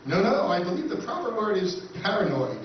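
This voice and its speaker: A man plays a brainy, skeptical spaceman in an old-time radio drama. His voice is high and his manner measured and a little pedantic. It sounds distant, in a very echoey hall. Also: very animated, a little hiss or hum. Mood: analytical. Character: reasoning, skeptical male spaceman, calm analytical sidekick. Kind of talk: live recreation of 1950s radio science fiction. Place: convention performance room, picked up from afar. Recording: echoey convention hall, distant muffled recording.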